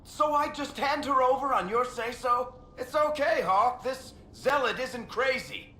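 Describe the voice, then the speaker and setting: An actor playing a defensive, sarcastic rogue in a video game, speaking heatedly. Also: male voice, very high voice, unhurried, sharp tone, heavily acted. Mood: indignant. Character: defensive, indignant roguish liar protecting a friend. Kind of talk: video game character acting. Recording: roomy echo, faint noise.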